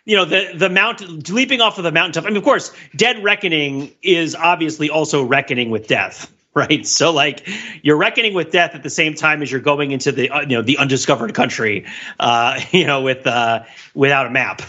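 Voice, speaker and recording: A man's voice: fairly high pitch, a little fast, bright, forceful tone, lo-fi sound. A man co-hosting a podcast, chatting casually about film in a playful, riffing way. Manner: laughs often while talking, playful riffing. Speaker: podcast co-host.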